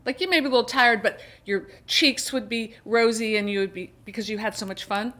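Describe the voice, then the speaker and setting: A woman's voice: fairly high pitch, brisk, bright, forceful tone. A middle-aged woman chatting casually to her viewers as a book vlogger, rambling along with emphatic turns of phrase. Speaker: book reviewer and video host.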